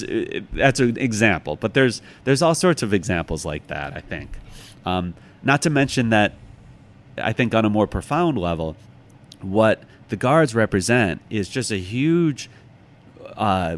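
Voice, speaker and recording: An American man talking in a conversational interview, explaining things reflectively as a guest. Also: fairly low pitch. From Chicago, United States. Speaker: interview guest, author and former museum guard. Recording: clearly noisy background.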